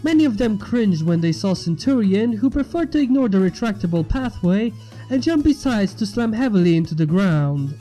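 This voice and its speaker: Male story narrator, mellow and animated, light music bed underneath. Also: sits high, moderate pace. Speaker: fan fiction narrator and channel host. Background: background music.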